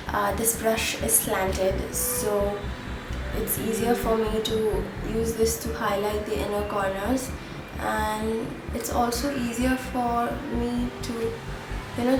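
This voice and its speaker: A young woman, chatting through a makeup tutorial with plenty of ums and uhs, sounding stuffy from a cold. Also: a little high. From India. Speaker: beauty vlogger. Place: home room at a mirror. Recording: echoey room, camera at a distance, loud music behind.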